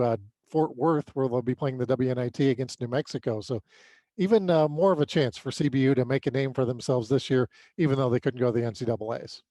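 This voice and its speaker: American man, sports podcast co-host, casual recap commentary, medium pitch, very dry recording. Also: darkish tone, dry recording.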